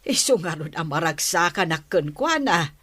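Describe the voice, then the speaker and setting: A woman's voice: lowish voice, sing-song, moderate pace, a bit bright, husky, no echo, heavily acted. A woman acting a caring middle-aged mother in an Ilocano radio drama, with an Ilocano accent. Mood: concerned.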